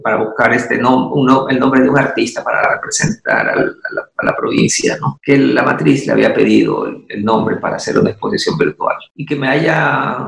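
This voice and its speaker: A man with a heavily gravelly, creaky voice tells his story slowly and thoughtfully. He hesitates often and restarts his sentences. The sound is roomy and echoing, like a remote call. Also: medium pitch. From Ecuador. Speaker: artist and university teacher. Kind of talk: interview guest, reflective storytelling.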